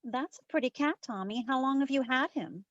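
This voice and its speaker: A woman playing a sassy, quick-witted private detective, narrating her case in old-time radio drama style. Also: sits high, dry recording, dull audio, natural voice. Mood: probing, friendly. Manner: wry, wisecracking.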